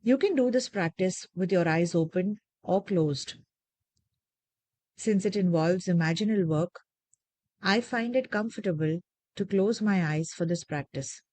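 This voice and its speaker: Low, mellow woman guiding meditation. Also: no room sound. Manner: gentle, measured, soothing instruction with deliberate pauses. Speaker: meditation guide. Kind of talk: guided meditation narration.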